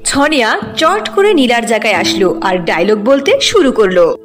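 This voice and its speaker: Female fairy-tale narrator: fairly high voice, very lively intonation, faint music underneath. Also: moderate pace.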